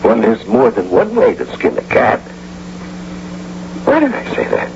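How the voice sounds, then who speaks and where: Muffled old radio play: a boozy, bombastic jobless husband, swooping and oratorical. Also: male voice, fairly low pitch, wildly swooping pitch, a little slow, vocal fry, exaggerated voice. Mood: bitter. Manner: theatrical, oratorical. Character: tipsy, grandiose out-of-work husband scheming a robbery. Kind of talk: radio drama acting. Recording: dull, hissy, humming old radio broadcast.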